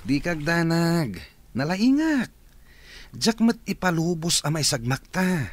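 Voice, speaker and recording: An actor playing a calm, reassuring man in a radio drama. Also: a little high, takes it slowly, no echo, exaggerated voice.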